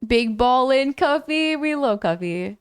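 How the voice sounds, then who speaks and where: A young woman livestreaming a game, talking freely to her chat with a fairly high, smooth voice. She jumps into excited, squealing thanks whenever viewers give, and her voice sounds a little worn from hours of talking. The sound is close and dry, with no room around it. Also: a little slow, no echo. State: voice a bit lower and worn from long talking. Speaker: gaming livestreamer.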